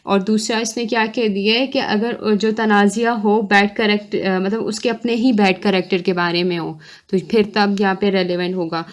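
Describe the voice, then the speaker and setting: Female online lecturer, medium pitch, informal explanatory delivery, reads out points then paraphrases with frequent check-in tags. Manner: explanatory, often checking understanding with short tags. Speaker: law lecturer, online teacher.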